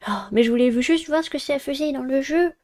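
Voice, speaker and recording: Soft-toned, higher-voiced young woman streamer. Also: a little fast. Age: young adult. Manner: playful, teasing. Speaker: streamer playing and reading a visual novel. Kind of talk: let's play, reading dialogue aloud and commenting.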